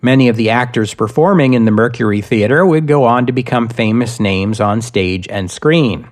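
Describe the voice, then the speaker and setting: A man reading scripted history narration as a podcast host, with measured, deliberate phrasing. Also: medium pitch.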